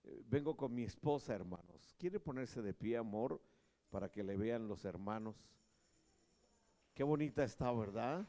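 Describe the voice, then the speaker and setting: Slow-speaking man in his sixties preaching. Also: mid-range voice, expressive. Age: 60s. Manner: slow, joking, grows loud when preaching. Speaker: pastor. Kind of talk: sermon. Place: large church hall. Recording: long church reverb.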